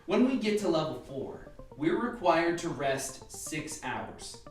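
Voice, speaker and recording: An actor playing an authoritative, methodical scientist leading a team in a radio play, lecturing with dry humour. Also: male voice, fairly high pitch, sing-song, takes it slowly, recorded from a distance. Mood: dry, instructive. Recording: echoey room, off mic, music behind.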